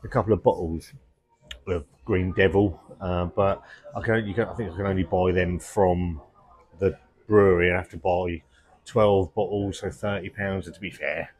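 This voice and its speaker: A man's voice: low voice, fairly even intonation, moderate pace, a little mellow. A man in his forties, seated in a pub, chatting casually and enthusiastically about beer, with lots of ums and restarts. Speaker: beer reviewer. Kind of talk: casual vlog review. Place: indoor pub, seated at table.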